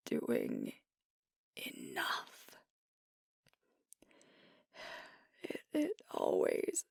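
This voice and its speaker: A woman playing a young, earnest, self-doubting alpha werewolf pack leader in an intimate ASMR roleplay, speaking softly and hesitantly in a breathy half-whisper. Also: fairly high pitch, dry recording, clean studio sound, full character voice. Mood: worried, vulnerable. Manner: hesitant, confiding, slow and reflective. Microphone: close mic, dry.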